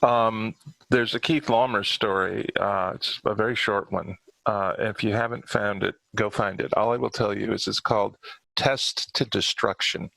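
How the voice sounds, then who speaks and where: A man in casual discussion, talking in short, halting phrases. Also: lowish voice, fairly even intonation, creaky, pushed and bright, no room sound, rough recording.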